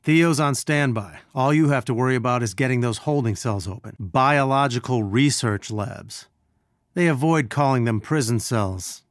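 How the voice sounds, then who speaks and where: A man's voice: medium pitch, normal speed, no room sound, studio quality, lightly acted. Actor as an earnest male scientist resistance leader. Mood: focused. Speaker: mission support over comms. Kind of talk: video game voice acting.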